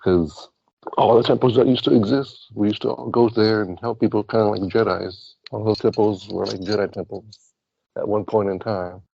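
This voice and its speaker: A man's voice: on the low side, fairly even intonation, normal speed, creaky, mellow, breathy edge, no echo, muffled recording. A man talking casually and earnestly as a talk show guest, rambling as he goes. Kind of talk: casual rambling talk about past lives and spirituality.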